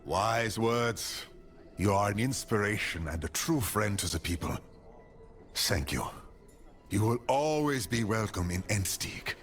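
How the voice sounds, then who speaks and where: An actor playing an earnest rebel leader in a fantasy game, speaking in a solemn, formal, oratorical way. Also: male voice, on the low side, lively intonation, unhurried. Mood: warm gratitude. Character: earnest male rebel leader proclaiming a free city. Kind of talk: dramatic fantasy game dialogue. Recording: music behind the voice.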